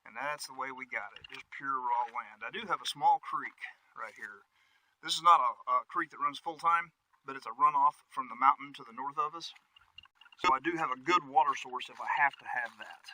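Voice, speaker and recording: A man's voice: mid-range voice, moderate pace, bright, forceful tone, rough recording. A man giving a casual, folksy, conversational tour of his property outdoors, as a vlog host. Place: outdoors on wooded rural land. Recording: music behind the voice.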